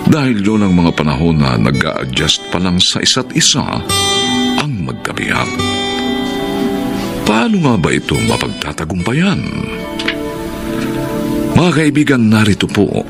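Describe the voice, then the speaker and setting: Filipino guy narrating radio drama, slow and dramatic, really swooping intonation, loud guitar backing. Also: sits low, huge pitch swings, creaky. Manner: dramatic, deliberate. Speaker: announcer and narrator. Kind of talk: solemn scripted radio drama introduction. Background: loud plucked guitar music.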